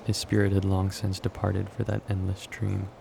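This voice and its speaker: A man playing a brooding, obsessive doctor in a horror manga dub, speaking in a grave, detached, gravelly way. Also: sits low, completely flat delivery, normal speed, noisy background. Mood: somber. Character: brooding, obsessive male doctor, coldly rationalizing human experiments.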